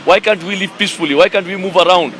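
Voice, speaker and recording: Man, fairly high voice, hesitant appeals, faint traffic behind. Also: fast, fairly bright tone. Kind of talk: street statement.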